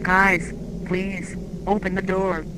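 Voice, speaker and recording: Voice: completely flat delivery, slow, natural voice. An actor playing a coaxing mimic creature in a horror drama, posing as someone who pleads for help. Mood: pleading, urgent. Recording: loud rumbling background noise.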